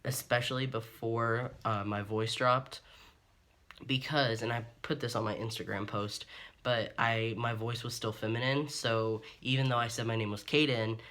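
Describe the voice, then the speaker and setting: A man's voice: mid-range voice, fairly even intonation, normal speed, pushed and bright. A young man in his twenties from Ohio, talking openly and earnestly to camera in a rambling vlog full of ums and restarts. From Ohio, USA. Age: 20s. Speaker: vlogger. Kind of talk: candid personal monologue to camera.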